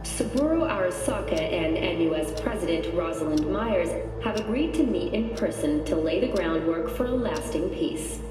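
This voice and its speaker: An actress playing a formal television news anchor in a game, reading a scripted news report. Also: female voice, on the low side, expressive, moderate pace, few pauses, natural voice. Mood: formal, serious. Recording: echoey, distant broadcast with music behind.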